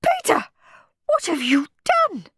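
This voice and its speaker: A woman's voice: high-pitched, little pitch movement, slow, no echo, clean studio sound, pitched above the performer's own voice. A woman voicing a harried, exasperated storybook mother who scolds and frets. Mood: shouting. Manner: shouting, scolding, fretful.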